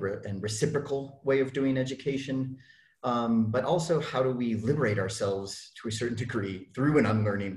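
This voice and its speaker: A man who directs an education organization, speaking in a thoughtful, measured way and often restarting phrases. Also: medium pitch, darkish tone. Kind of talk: reflective discussion. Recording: echoey room.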